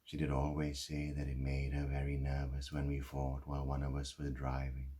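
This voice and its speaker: A man performing a dramatic monologue as a guilt-ridden husband, speaking in a halting, confessional way with heavy pauses. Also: rumbling low, monotone, warm tone. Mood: regretful, subdued. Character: guilt-ridden husband who caused a fatal drunk-driving crash.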